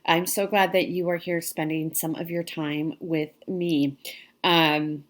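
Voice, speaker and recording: Woman, low voice, congested, middle-aged, chatty, lots of ums, casual vlog talk. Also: little pitch movement, takes it slowly. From Pacific Northwest US. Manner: chatty, many ums and restarts. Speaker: cross stitch vlogger. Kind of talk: casual chat to camera.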